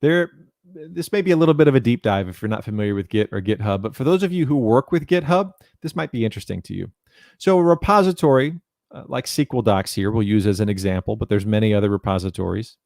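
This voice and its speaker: A man's voice: fairly low pitch, animated, fast, creaky, darkish tone, no room sound. Man presenting, dry close sound. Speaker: presenter, documentation content developer. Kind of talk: technical presentation, explanatory. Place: small indoor room, home video call. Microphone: headset.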